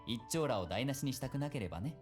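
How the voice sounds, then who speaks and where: A man voicing an easygoing, gently teasing policeman and mentor in a visual novel. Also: medium pitch, animated, rapid-fire, husky, soft background music. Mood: warm. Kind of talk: Japanese visual novel voice acting.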